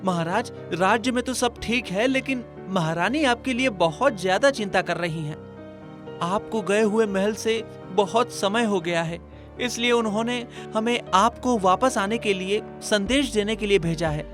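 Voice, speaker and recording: A man's voice: high voice, a little slow, over music. A man playing a loyal royal minister in a cartoon story, humble, formal and deferential.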